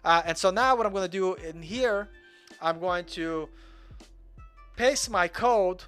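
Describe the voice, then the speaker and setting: A young man from Russia explains his code in a relaxed, chatty way, wandering off on small tangents and poking fun at himself. His voice sits a little high for a man. Soft music plays faintly underneath. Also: unhurried. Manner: chatty, rambling, self-deprecating. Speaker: coding tutor. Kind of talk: casual live coding walkthrough.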